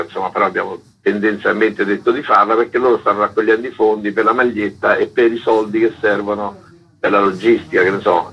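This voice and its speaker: A man's voice: on the low side, vocal fry, a bit bright, breathy edge, muffled recording. A man explaining things informally as an interviewed organiser, in a rambling, colloquial way. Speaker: event organiser being interviewed.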